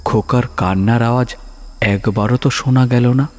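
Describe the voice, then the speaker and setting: A man narrating a horror story in a dramatic, suspenseful way, with pauses for effect. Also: mid-range voice, steady tone. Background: soft music.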